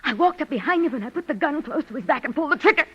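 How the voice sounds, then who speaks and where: Very high-pitched hysterical woman. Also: animated. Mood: eerie, dazed. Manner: breathless, halting, repeating herself. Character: hysterical, unhinged woman confessing to a murder. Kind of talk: 1940s radio mystery acting. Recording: dull, lo-fi vintage radio recording.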